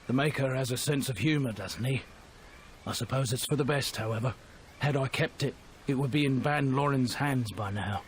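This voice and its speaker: A man's voice: medium pitch, moderate pace, a little hiss or hum, close to an everyday voice. An actor playing a wounded male soldier in a video game, weary and regretful, resigned to his death. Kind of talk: video game cutscene acting.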